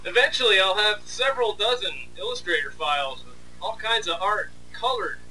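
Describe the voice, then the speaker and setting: A man's voice: high-pitched, expressive, normal speed, sharp tone. A man casually narrating behind the scenes as an animator, with a sarcastic, mock-complaining manner. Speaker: animator and video host. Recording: distant mic, faint background noise.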